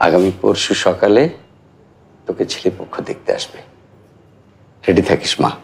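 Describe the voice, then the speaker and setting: A man's voice: deep voice, steady tone, normal speed, gravelly, darkish tone. An actor playing a stern middle-aged father of a grown daughter in a television drama. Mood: firm. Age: middle-aged or older.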